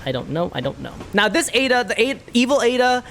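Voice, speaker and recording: Excitable, animated game streamer. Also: medium pitch, a little fast, vocal fry, slight background noise. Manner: excitable, repeats words and phrases. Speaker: streamer playing a game for a live chat. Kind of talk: casual livestream gaming commentary with reactions.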